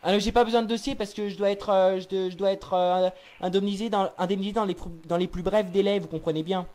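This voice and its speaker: A man's voice: high-pitched, fairly even intonation, fast, a little mellow. A young man improvising a prank phone call, feigning seriousness in a hesitant but insistent way. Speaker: prank caller.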